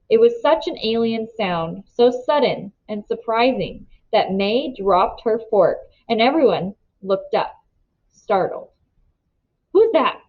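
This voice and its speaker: A woman reading a story aloud as narrator, with expressive storybook delivery. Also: on the high side. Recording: slightly dull, lo-fi sound.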